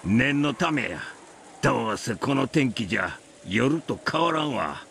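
An actor voicing a middle-aged man with a Kansai accent, quietly muttering to himself in a Japanese visual novel. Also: mid-range voice, big pitch swings, fairly quick, creaky. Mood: quietly cautious. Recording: background music under dialogue.

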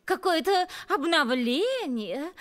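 A woman's voice: extremely high pitch, big pitch swings, takes it slowly, full character voice. A woman voicing a lonely, romantic harp in a cartoon, dreamy, languid and theatrical. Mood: inspired, dreamy. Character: lonely, romantic, melancholy female harp, artistic soul. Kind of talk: animated cartoon voice acting.

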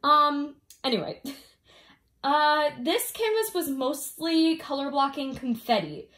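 A woman's voice: high voice, animated, slow pace, fairly bright tone. A young woman casually chatting through a craft kit review, a little congested from a cold, rambling and giggling with many fillers. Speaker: diamond painting vlogger. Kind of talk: casual review chat, reading off notes. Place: indoors at home, filming down at a canvas.

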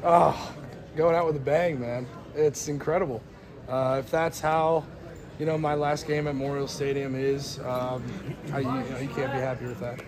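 A young college football player answering reporters after a game in a crowded weight room, earnest and grateful, with many fillers. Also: male voice, medium pitch, level tone, moderate pace. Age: young adult. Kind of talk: postgame media scrum. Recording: crowd chatter behind.

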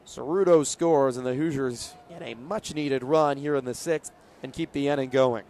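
A man's voice: medium pitch, normal speed, a little mellow. A young man calling live baseball play-by-play for radio. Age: young adult. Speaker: play-by-play broadcaster. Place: outdoor ballpark broadcast. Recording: crowd and stadium noise behind. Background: ballpark crowd.

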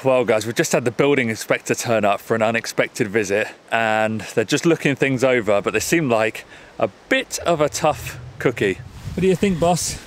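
A man's voice: mid-range voice, normal speed. Male vlog host, thirties, mid-range voice, informal how-to talk recorded outside. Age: 30s. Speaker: vlog host renovating a house. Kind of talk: casual explaining to camera. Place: outdoors at a rural building site.

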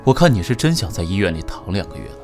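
A male actor plays a composed, stern chairman in a radio play. The voice is very low and expressive in its melody, yet restrained and reproving in manner. Faint music sits underneath. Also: quick pace. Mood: quietly reproving. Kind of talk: Chinese audio drama acting.

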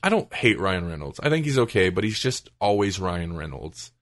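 A man's voice: deep voice, big pitch swings, normal speed, a bit bright. A man podcast co-host chatting casually about movies and comics.

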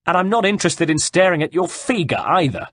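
A man voicing a mocking Italian nobleman villain in an audiobook, taunting and projecting loudly. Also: mid-range voice, very animated, measured pace, husky, no echo, studio quality, voice pushed up, exaggerated voice. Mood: threatening. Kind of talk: audiobook character dialogue.

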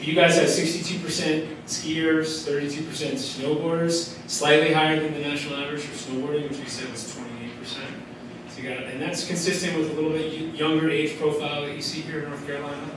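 A man in his thirties presents to an audience from a podium, talking in a casual, enthusiastic way full of "um"s and restarts. He is recorded from far back in a large hall, so his voice rings with heavy echo over a clear layer of background noise. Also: a little high. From Colorado. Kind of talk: conference presentation. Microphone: podium mic, filmed from far back. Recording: echoey hall from far off, noisy.

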